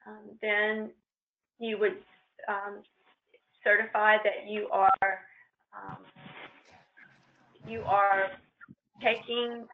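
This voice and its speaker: A woman presenting on a training call, walking informally through a procedure step by step in a halting, hesitant way. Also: mid-range voice, flat delivery, slight background noise. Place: conference call with shared screen. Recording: roomy, muffled conference-call line.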